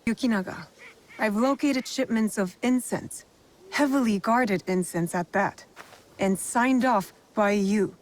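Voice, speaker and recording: A woman's voice: mid-range voice, a little slow, close to an everyday voice. Woman as a cool, resolute shinobi. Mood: accusing. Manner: cool, pointed, formal. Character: determined young female shinobi, cool and resolute. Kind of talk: video game cutscene acting.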